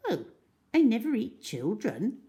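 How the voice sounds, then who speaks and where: A woman's voice: a little high, wildly swooping pitch, a little slow, mellow, voice pushed up. A woman voicing a smaller, disapproving crocodile in a storybook, blunt, indignant and emphatic. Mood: dismissive. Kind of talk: storybook character reading.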